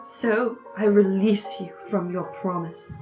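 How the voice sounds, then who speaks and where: A woman playing a gentle but resolute, sorrowful young sweetheart in a radio drama, with measured, earnest phrasing. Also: medium pitch, steady tone, soft background music, lightly acted. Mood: sad, resolute. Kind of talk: amateur radio drama acting. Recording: dull lo-fi audio.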